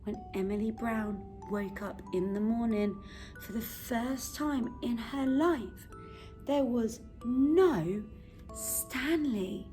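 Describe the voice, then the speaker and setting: A woman reads a children's bedtime story expressively, with dramatic, deliberate pauses. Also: on the high side, dark tone, soft background music.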